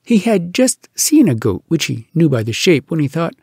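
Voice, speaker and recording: A man reads humorous poems aloud as a storyteller, with theatrical, expressive delivery and dramatic pauses. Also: fairly high pitch, creaky, breathy edge, no echo, clean studio sound. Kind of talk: expressive comic poetry recitation.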